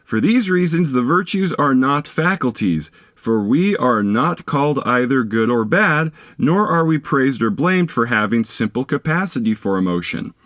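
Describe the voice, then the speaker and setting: Male narrator for philosophy audiobook; deliberate, instructive phrasing with animated pitch movement, very dry sound. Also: no echo. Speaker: audiobook reader. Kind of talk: scripted reading of a philosophy text.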